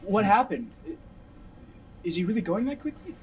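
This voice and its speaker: An actor with an American accent playing a sardonic, teasing brother in a naturalistic drama. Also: male voice, sits high, big pitch swings, normal speed, a little hiss or hum, low-quality recording. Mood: subdued, concerned. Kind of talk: naturalistic film dialogue.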